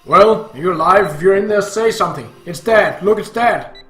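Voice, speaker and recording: A man voicing a harsh, bitter, worn-out father in an audiobook, gruff and blunt. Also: a little high, moderate pace, a bit bright, echoey room, soft background music, close to an everyday voice. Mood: angry. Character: harsh, bitter, exhausted father of a comatose girl.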